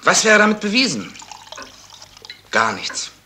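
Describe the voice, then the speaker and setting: An actor playing a bitter, restless, defiant suspect with a cynical edge, in a 1970s radio crime play style. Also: male voice, high-pitched, wildly swooping pitch, a little slow, noisy background, full character voice. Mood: defiant, agitated. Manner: edgy, cynical.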